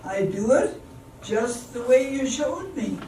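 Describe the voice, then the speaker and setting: A woman's voice: a little high, big pitch swings, slow pace, warm tone. An older woman playing a puzzled, compliant dance partner with a questioning manner in an amateur radio play. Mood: defensive. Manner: questioning, bemused. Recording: echoey room, distant mic, faint noise.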